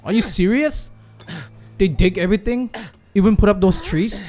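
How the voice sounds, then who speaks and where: A woman's voice: mid-range voice, moderate pace, a little mellow, faint music behind. A young adult woman giving casual gameplay commentary, blunt, incredulous and jokey. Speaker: game streamer.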